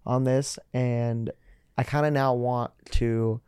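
A man's voice: mid-range voice, level tone, takes it slowly, mellow, clean tone, no room sound, studio quality. A young man hosting an interview podcast, upbeat and chatty, with many likes as he talks. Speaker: podcast host. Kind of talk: casual interview chat.

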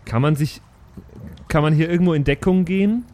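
A man's voice: fairly high pitch, brisk, a little mellow. A man improvising casually as a player in a tabletop role-playing game. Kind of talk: improvised in-character role play, casual chat.

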